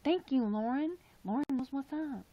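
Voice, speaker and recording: A young woman live streaming, chatting casually in a raspy, tired voice, rambling and swearing now and then. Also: high-pitched, lively intonation, normal speed, no room sound, lo-fi sound.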